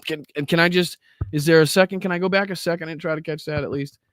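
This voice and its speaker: A man's voice: a little high, steady tone, fast talker, fairly bright tone, dry recording. A man with a Boston accent hosting a livestream, commenting in a crude, profane, mocking way. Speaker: livestream host. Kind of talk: live reaction commentary.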